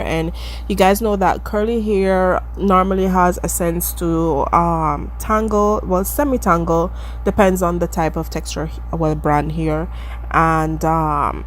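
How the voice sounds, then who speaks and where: A woman's voice: fairly low pitch, a little hiss or hum. Stuffy-nosed chatty woman from the Caribbean. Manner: chatty, addresses viewers as guys, self-deprecating. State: stuffy nose from allergies. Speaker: beauty vlogger. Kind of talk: casual wig-making tutorial voice-over.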